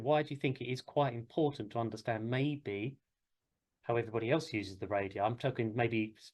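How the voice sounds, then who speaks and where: A man's voice: mid-range voice, darkish tone. A British man giving an informal classroom talk as a flying instructor, rambling and hesitant but encouraging. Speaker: helicopter flying instructor and session host.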